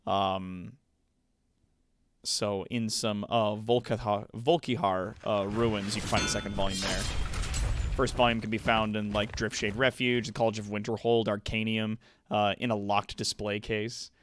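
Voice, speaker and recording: A man's voice: on the low side, little pitch movement, measured pace, background noise. A man hosting a livestream, explaining things casually and playfully with some hesitation. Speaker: livestream host.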